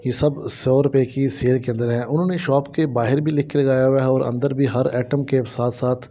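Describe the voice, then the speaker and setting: Flat-toned Pakistani man vlogging, dull recording. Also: medium pitch, normal speed. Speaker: vlogger showing shop goods. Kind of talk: casual shopping vlog narration. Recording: dull, lo-fi phone camera audio.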